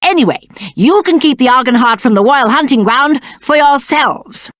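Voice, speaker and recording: A man's voice: extremely high pitch, animated, normal speed, fairly bright tone, no echo, clean studio sound. A British man playing a spoiled, cowardly young prince in a video game, with a pompous, petulant and theatrical delivery. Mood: smug. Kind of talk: video game character acting.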